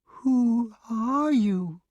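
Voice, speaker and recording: A man voicing a timid, fussy male grass snake who talks in a fluttery, excited way. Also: sits high, slow pace, no room sound, lo-fi sound, voice pushed up. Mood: trembling.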